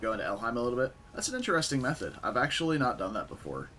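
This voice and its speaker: A young man with a raspy voice from a concert the night before, casually commentating a game stream and chatting with viewers. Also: mid-range voice, very fast, a little hiss or hum. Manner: relaxed, chatty, answers chat.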